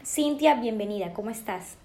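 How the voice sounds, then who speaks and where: A woman hosts an interview and asks her guest friendly, measured questions. Her voice sits a little high. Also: animated, warm tone. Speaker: interviewer host.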